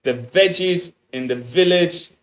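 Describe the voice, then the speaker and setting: A young man with an Austrian German accent, chatting excitedly to his viewers as a game streamer. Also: on the high side, unhurried. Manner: excitable, upbeat, chatty with restarts. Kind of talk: casual livestream chat, greeting viewers.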